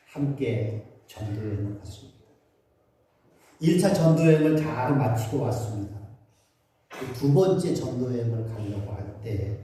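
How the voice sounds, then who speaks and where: A man's voice: mid-range voice, mellow, slight background noise. A middle-aged male pastor giving a Christmas sermon, telling stories and asking his congregation questions. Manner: storytelling, acting out voices of villagers and asking the congregation questions. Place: church sanctuary. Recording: very echoey church hall, voice from some distance.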